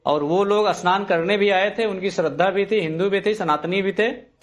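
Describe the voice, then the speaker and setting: A man's voice: high-pitched, moderate pace. A man speaking as a party spokesman in a heated television debate, insistently repeating himself and talking over others.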